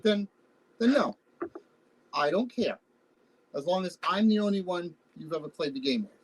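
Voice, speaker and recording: A man acting the part of a teasing, sentimental partner in a loving couple in a play reading, with halting, bantering delivery. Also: high-pitched, expressive, husky, rough recording. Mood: defensive, softening. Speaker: actor in a staged reading. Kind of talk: naturalistic stage play reading. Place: remote virtual reading over video call.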